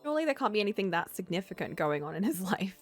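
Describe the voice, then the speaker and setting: Expressive woman reading a story over music. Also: mid-range voice, quick pace. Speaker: streamer reading a visual novel aloud. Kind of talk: expressive story narration with casual asides.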